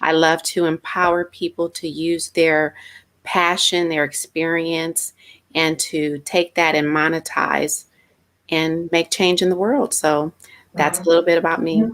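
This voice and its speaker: Middle-aged woman from California, guest speaker, confident, polished, lower pitch. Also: flat delivery, a little slow, fairly bright tone. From Inglewood, California. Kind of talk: interview answers.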